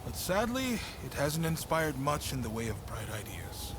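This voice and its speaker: A man's voice: mid-range voice, wildly swooping pitch, moderate pace, noisy background. A man playing a weary, brooding samurai leader in a video game, musing aloud with wry self-deprecation, over music. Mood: wry relief. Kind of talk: dramatic video game voice acting. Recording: dialogue over clear background music.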